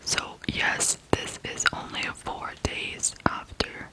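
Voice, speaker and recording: An ASMR host from Ukraine telling a true crime story in a hushed, deliberate whisper. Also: rough recording.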